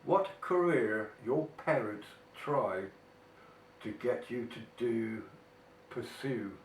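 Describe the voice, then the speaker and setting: A man's voice: medium pitch, animated. An older man, talking casually through weekly questions while he has a cold, with many ums and mm-hmms. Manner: slow, chatty, frequent mm-hmms and ums. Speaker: vlogger. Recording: echoey room, mic at a distance, faint noise.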